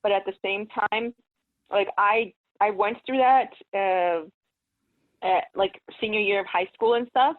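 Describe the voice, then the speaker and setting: A woman talking casually as a guest, animated and emphatic, fond of rhetorical questions. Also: mid-range voice, measured pace. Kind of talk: casual conversation. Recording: tinny phone-like line.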